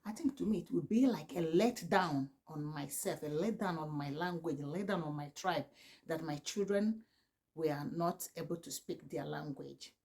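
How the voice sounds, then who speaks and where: Earnest Nigerian woman, lowish voice. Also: normal speed, darkish tone. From southeastern Nigeria. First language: Igbo. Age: 40s. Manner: earnest and pleading, with repeated "please" and frequent restarts. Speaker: vlogger, mother of four. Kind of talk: earnest advice talk to camera.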